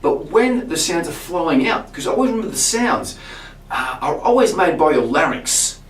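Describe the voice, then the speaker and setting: A man, a singing teacher, explaining vocal technique to camera in a relaxed way, often restarting his words. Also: a little high, normal speed, slight background noise. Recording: roomy sound from a distance.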